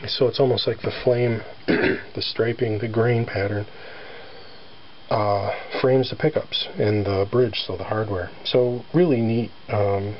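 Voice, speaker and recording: A man with a scratchy voice from allergies, casually showing off his guitar with lots of fillers and throat clearing. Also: lowish voice. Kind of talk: casual show-and-tell. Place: near a sunny front porch. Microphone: handheld camera filming close-up. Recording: dull home-video sound with faint background noise.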